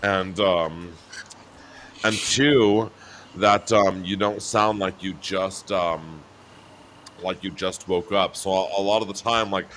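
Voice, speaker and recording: Deep-voiced man, casual and chatty. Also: fairly even intonation, slow pace, slight background noise. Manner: laughing, breaks into high shouty voice when mimicking himself. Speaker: guest on a talk show. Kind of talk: casual chat. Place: New Mexico home.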